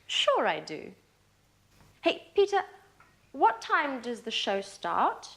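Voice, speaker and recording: An actress playing a patient but easily exasperated woman friend in a scripted sitcom for language learners, speaking deliberately and expressively. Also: high-pitched, wildly swooping pitch, fairly bright tone, heavily acted. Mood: upbeat. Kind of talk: slow, clear scripted sitcom dialogue for learners.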